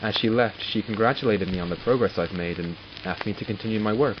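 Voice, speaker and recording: A man's voice: on the low side, quick pace, natural voice. A man acting in an audio drama as an arrogant, exasperated doctor who studies supernatural captives, clinical and sardonic in manner. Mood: clinical, detached. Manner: clinical, sardonic, self-talking. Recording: muffled, with loud background noise.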